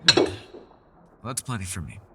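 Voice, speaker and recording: A man's voice: normal speed, in a large hall, very noisy, close to an everyday voice. A man playing a mysterious, scholarly elf in a fantasy roleplay, with a refined, theatrical and teasing manner. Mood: calm, brisk. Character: mysterious, scholarly male elf, playful yet guarded. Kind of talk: fantasy ASMR roleplay, scripted character acting.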